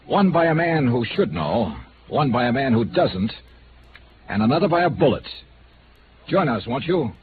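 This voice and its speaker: A man's voice: medium pitch, sing-song, moderate pace, long pauses, background noise, lightly acted. An American man playing a hard-boiled freelance investigator in 1950s radio noir, wry, terse and clipped. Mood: teasing, dramatic. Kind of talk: 1950s radio noir first-person narration and dialogue. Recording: tinny old radio broadcast, music behind.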